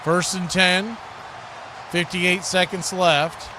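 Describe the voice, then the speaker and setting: Male American sports commentator, slightly high register, live stream host delivery, noticeably noisy sound. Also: flat delivery, slow. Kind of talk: live American football play-by-play and casual chat.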